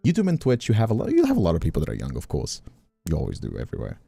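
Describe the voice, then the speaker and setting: A man from Brazil talks casually on a livestream in a very low voice. His delivery is lively and swings up and down, with a mellow, relaxed tone and informal, emphatic repetition. Faint music plays behind him. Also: very fast, gravelly, breathy edge. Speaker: streamer and voice actor.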